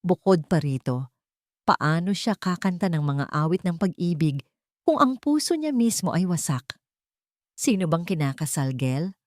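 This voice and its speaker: Female narrator, lowish pitch, scripted romantic drama storytelling, very dry recording. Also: lively intonation, moderate pace, no echo.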